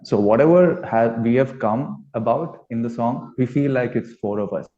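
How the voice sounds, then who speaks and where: A man's voice: medium pitch, moderate pace, warm tone. A man with an Indian accent answering interview questions as a band musician, reflective and rambling as he searches for his words. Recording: dull, lo-fi audio.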